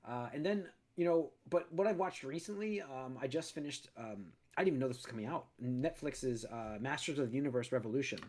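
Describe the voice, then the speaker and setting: Brief: male podcast host, mid-pitched, slightly raspy from a cold, rambling and easygoing, studio mic. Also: fairly quick, few pauses, darkish tone. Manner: rambling, many restarts and fillers. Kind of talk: casual chat.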